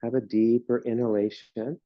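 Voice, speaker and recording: A man guiding a yoga class through slow breathing and relaxation, speaking in calm, soothing instructions. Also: medium pitch, no room sound, muffled recording. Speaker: yoga teacher.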